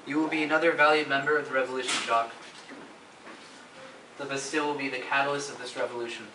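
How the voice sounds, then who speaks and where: A man's voice: medium pitch, little pitch movement, fast, close to an everyday voice. A man playing a resolute, fervent French revolutionary in an amateur stage play, speaking earnestly. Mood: determined. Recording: distant echoey amateur recording, faint noise.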